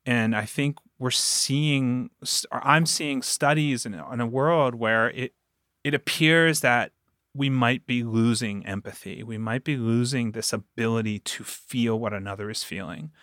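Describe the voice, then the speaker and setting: A man's voice: medium pitch, unhurried, fairly bright tone, no room sound, studio quality. A man speaking as a producer and guest in a conversational podcast interview, earnest and emphatic as he explains and recounts. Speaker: audio drama producer and guest.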